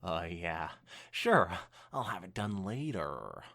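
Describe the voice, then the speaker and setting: A flustered female cartoon pony from a fan story, voiced by a reader. She is evasive and guilty, hesitating and stretching words, with very animated pitch. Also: slow pace, creaky, heavily acted.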